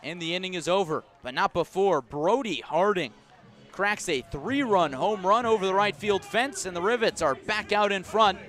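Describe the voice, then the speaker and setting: Male baseball radio announcer, slightly high-pitched voice, descriptive pitch-by-pitch calling. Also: normal speed. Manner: descriptive, storytelling between pitches, rising to excited calls on big plays. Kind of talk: live radio baseball commentary.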